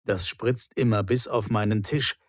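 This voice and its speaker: A man playing a particular, reproachful neighbour in a clearly articulated language-lesson dialogue. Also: lowish voice, fairly even intonation, measured pace, no room sound, clean studio sound. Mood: complaining. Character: grumbling male apartment neighbour, particular and reproachful.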